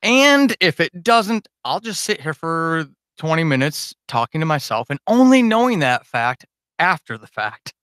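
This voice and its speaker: Bright-voiced man chatting on a livestream. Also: on the high side, very animated, measured pace, no echo. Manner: rambling, self-deprecating, informal with mild swearing. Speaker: podcast creator and host. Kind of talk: casual unscripted livestream chat to supporters.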